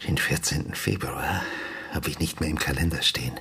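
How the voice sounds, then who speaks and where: An actor playing a grieving, apologetic father in a radio drama, speaking haltingly and hushed, often slipping into whispers. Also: a bass voice, steady tone, breathy edge, heavily acted. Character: grieving, apologetic father of a dead teenage daughter. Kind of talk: naturalistic radio drama acting.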